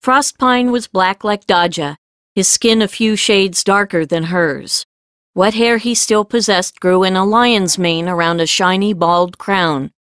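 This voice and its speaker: A woman reading scripted fiction as an audiobook narrator, with measured storytelling delivery. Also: mid-range voice, fairly bright tone, smooth, dry recording, studio quality.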